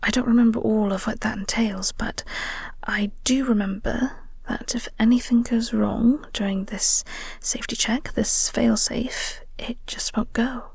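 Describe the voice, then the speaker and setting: A woman playing a flirty, caring coworker in an intimate audio roleplay, speaking softly and gently in a breathy, reassuring way. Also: fairly high pitch, steady tone, unhurried, no echo, exaggerated voice. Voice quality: soft, breathy, whispery.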